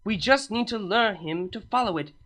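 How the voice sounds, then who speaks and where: A woman's voice: mid-range voice, lively intonation, moderate pace, a bit bright, natural voice. A woman voicing a proud, determined elder female pony princess who speaks earnestly.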